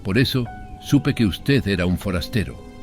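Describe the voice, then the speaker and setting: A man's voice: fairly low pitch, expressive, normal speed, soft background music. A man narrating a horror story in a dramatic first-person audiobook style.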